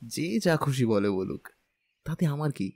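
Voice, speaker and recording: A man's voice: medium pitch, very animated, a little slow, gravelly, a little mellow, slightly rough, heavily acted. A man playing a lovestruck, cheeky young husband in an audio drama, stubborn and playful, with a pleading streak. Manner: pleading, playful, whiny with elders. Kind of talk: Bengali audio drama acting.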